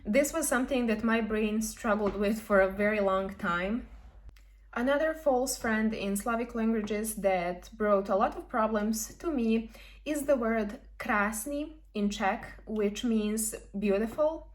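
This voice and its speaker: A young woman talks through language points in a friendly, thoughtful way. Her voice sits a little high. She pauses with ums and corrects herself as she goes, like someone chatting to camera. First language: Serbian. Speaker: language vlogger. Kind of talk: explaining to camera.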